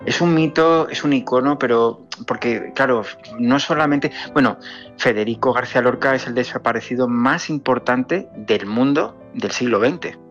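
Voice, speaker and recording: A man's voice: mid-range voice, normal speed, a bit bright, lo-fi sound, faint music behind. A man from Andalusia who is a film director, answering interview questions thoughtfully, with frequent restarts and fillers.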